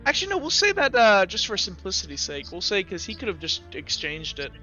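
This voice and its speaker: Male tabletop game narrator, bright and forward, halting and repetitive delivery, lo-fi sound. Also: high voice, huge pitch swings. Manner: halting, repeats words while searching for description. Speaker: game master. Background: music.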